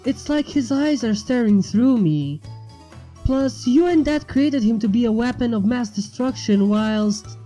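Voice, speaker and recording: A woman's voice: fairly high pitch, lively intonation, unhurried, dark tone, faint music behind, voice pushed up, poor audio. A woman voicing an earnest, uneasy young female dragon who is easily irritated, in a fan fiction reading.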